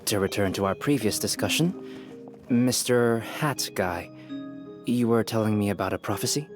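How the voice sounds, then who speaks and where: An actor playing a calm, polite young male researcher in a game, speaking formally and articulately. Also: on the low side, normal speed, over music, lightly acted. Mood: grave, thoughtful. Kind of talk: game character dialogue.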